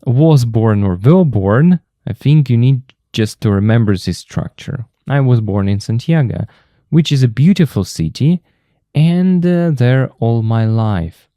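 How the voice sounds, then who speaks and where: A man with a Russian accent teaching English grammar from a textbook, explaining slowly and patiently and repeating the key terms. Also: mid-range voice, lively intonation, mellow, no room sound, studio quality.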